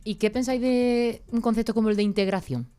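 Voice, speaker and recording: A woman hosting a casual interview, asking questions in a quick, playful way. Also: on the high side, fairly even intonation, dark tone. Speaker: host.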